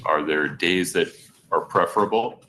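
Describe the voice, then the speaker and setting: A man presiding as judge at a court hearing, asking measured, deliberate questions. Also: sits low, bright, forceful tone, a little hiss or hum, poor audio.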